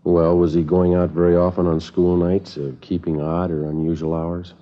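An American actor playing a terse, deadpan male police sergeant in a 1950s radio drama, clipped and matter-of-fact. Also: sits low, moderate pace, close to an everyday voice. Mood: businesslike. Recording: dull vintage radio recording with music.

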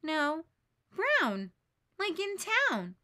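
A woman reading aloud, voicing a calm, earnest boy who encourages his friend. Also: very high-pitched, extremely slow, voice pushed up, exaggerated voice. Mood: explaining.

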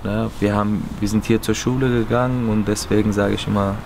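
Young guy from berlin, earnest, steady low voice, noisy outdoor stadium. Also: brisk, gravelly. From Berlin, Germany. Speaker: footballer. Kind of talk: interview answers. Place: empty stadium stands, outdoors.

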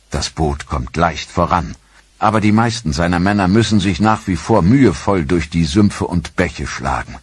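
Male documentary narrator, very low, husky voice, dry studio sound, measured delivery. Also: no room sound. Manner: measured, sober storytelling. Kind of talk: scripted narration.

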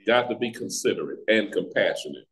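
An American man teaching a Bible study as a pastor, with deliberate, emphatic phrasing and pauses, over a video call. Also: lowish voice, expressive, fairly bright tone, poor audio. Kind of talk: Bible teaching with scripture reading. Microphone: video call microphone.

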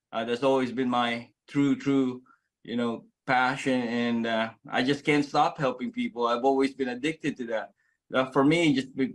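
A man of about forty from the Philippines gives an earnest, excited personal testimonial straight to a phone camera, often restarting his sentences. He is recorded close up in a small indoor room. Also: medium pitch. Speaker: network marketing member sharing his story. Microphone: phone camera at close range.

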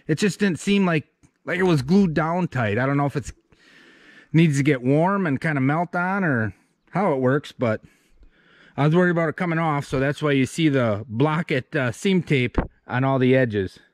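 A man's voice: on the high side, normal speed, no echo, studio quality. A man, chatting casually in a folksy, self-deprecating, rambling way as he shows his building work. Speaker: homesteader vlogging his barn build. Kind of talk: casual walk-through chat. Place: outdoors at a rural building site and inside the wooden building.

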